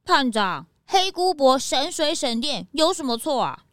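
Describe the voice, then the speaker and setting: A woman's voice: sits high, sing-song, unhurried, a bit bright, heavily acted. A woman voicing an eager, admiring young detective's assistant in a children's radio drama. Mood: puzzled.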